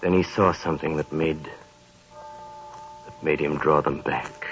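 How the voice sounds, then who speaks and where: A man narrating a 1930s radio drama in a measured storytelling manner. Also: rumbling low, steady tone. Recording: dull 1930s radio broadcast with music.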